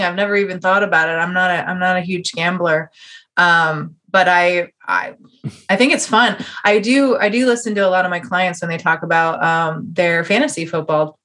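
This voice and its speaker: A woman with an American accent hosts a relaxed interview. Her voice sits a little low for a woman. She talks informally and effusively, like someone chatting with a friend. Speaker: podcast host.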